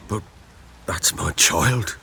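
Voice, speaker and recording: A man playing a gruff, guilt-ridden father in a video game, with a deep, gravelly voice. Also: slow, sharp tone, slight background noise, heavily acted. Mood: remorseful.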